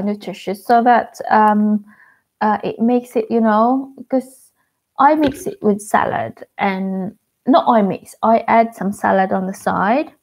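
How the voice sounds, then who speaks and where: A woman's voice: medium pitch, darkish tone, slight background noise. A Filipino woman in her thirties with a Filipino accent, chatting casually on a live unboxing stream, counting snacks aloud and greeting viewers by name. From Philippines. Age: 30s. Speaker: vlogger. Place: living room at home, indoors. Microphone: wired earphone mic on webcam.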